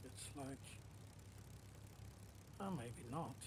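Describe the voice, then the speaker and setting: Slow-talking man over engine noise. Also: mid-range voice, expressive. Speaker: livestream host playing a flight simulator. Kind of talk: casual livestream chat with other players.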